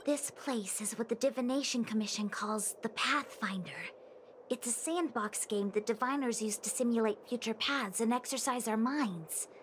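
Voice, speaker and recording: An actress playing a plucky young fortune-teller, a cheeky but earnest helper, chatty and expressive in video game style. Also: female voice, on the high side, normal speed, slight background noise, close to an everyday voice. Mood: puzzled explaining.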